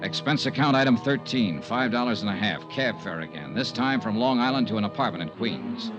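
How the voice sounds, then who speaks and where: Wry, tough radio detective male actor, clipped delivery, wide-ranging pitch, muffled vintage recording. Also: animated, a little fast, over music, close to an everyday voice. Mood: matter-of-fact narrating. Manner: clipped, sardonic, hardboiled. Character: tough, wry male insurance investigator, cool under threat. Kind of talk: 1950s radio detective drama acting with first-person narration.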